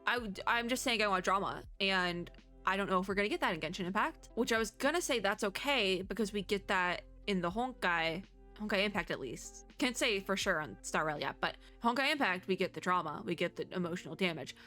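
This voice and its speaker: A woman with a bright, forward voice and a medium pitch gives casual reaction commentary. She talks like a chatty video host, rambling and restarting her thoughts as she goes. Soft music plays behind her. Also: expressive, fairly quick. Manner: chatty, rambling, self-interrupting. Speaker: YouTuber host.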